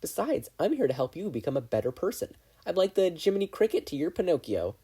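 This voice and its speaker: A smug, superior conscience speaks through a podfic reader, brisk and sarcastic. The pitch lifts and swoops, and the tone stays soft-edged and slightly husky as it lectures. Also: very animated. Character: smug, bossy inner-conscience voice, needling and superior.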